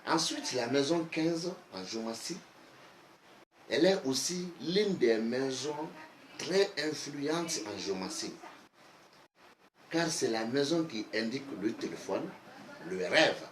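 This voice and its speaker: A man with a West African accent, a native Bambara speaker, explaining like a teacher in a deliberate, emphatic way with repetition. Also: mid-range voice, animated. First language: Bambara (Bamanankan). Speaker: geomancy teacher, online video host. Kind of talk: instructional explanation. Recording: echoey room, faint background noise.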